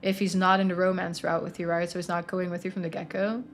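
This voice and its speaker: A woman's voice: on the low side, little pitch movement, quick pace. A woman giving casual commentary while she plays a story game. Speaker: streamer doing a let's play.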